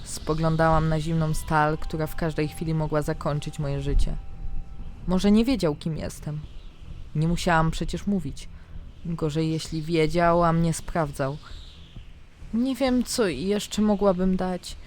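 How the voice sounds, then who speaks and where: A woman narrating a scripted fantasy diary in the first person, with dramatic, expressive storytelling. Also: low voice, unhurried, a little hiss or hum. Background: background music.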